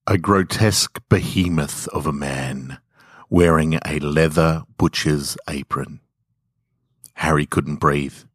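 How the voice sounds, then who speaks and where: A man narrating scripted short stories in a deliberate, measured, dramatic storytelling manner. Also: very deep voice, fairly even intonation, a bit bright, no room sound, studio quality. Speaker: podcast host reading short stories.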